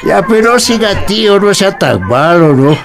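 An actor plays a gentle, respectful young man in a radio drama. He protests mildly in a high, slow voice, with clear music behind. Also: lively intonation, exaggerated voice. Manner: mild, protesting. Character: gentle, decent young nephew, pleading and respectful.

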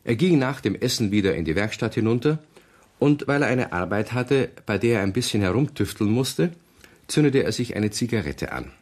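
Male narrator, low voice, very lively intonation, measured children's storytelling, radio play.

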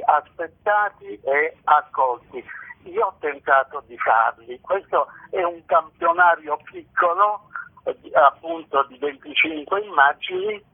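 An older man speaks slowly and reflectively over a thin telephone line. His voice is gravelly, and he often halts and repeats phrases for emphasis as he gives interview answers. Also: fairly high pitch. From Italy. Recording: tinny band-limited phone line.

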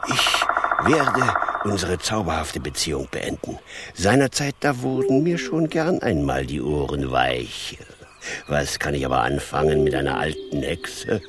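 A sly Devil for a children's radio play. He is mock-polite and theatrical, and his voice swings wildly between low and high, with music prominent behind him. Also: very animated, a little slow, full character voice. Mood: mocking, haughty. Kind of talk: children's radio drama acting.

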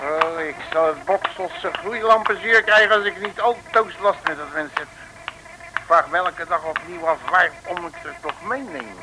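An actor playing a pompous, grumbling, bumbling detective in a comic radio serial, with theatrical bluster and colourful oaths. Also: male voice, high voice, normal speed, over music, natural voice, rough recording.

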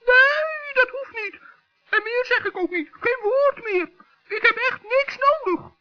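A man's voice: very high voice, slow, pitched above the performer's own voice. A man voicing a small, naive forest gnome in a children's radio play, hesitant and plaintive. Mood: wary. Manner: hesitant, plaintive, easily tempted. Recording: dull old radio recording.

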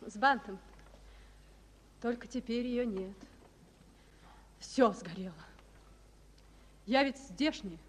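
An actress playing a shy, sincere young woman in a wartime radio drama, speaking softly and earnestly. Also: a little high, slow pace, pauses often, slight background noise. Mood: shy, gentle. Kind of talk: 1940s-set wartime radio drama acting.

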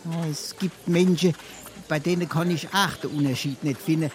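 A wily, glib scrounger played by a male actor talks in a fairly high man's voice with a Darmstadt Hessian accent. He is boastful and flattering, full of theatrical dodges, like a Biedermeier barfly in a dialect farce. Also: normal speed, close to an everyday voice. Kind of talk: dialect stage comedy acting.

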